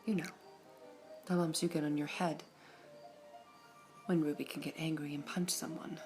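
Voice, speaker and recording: Woman doing a composed female gem hostess, soft ASMR whisper, slightly low, polite and formal, serving tea. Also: fairly even intonation, moderate pace, soft background music. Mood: serene. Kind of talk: ASMR roleplay.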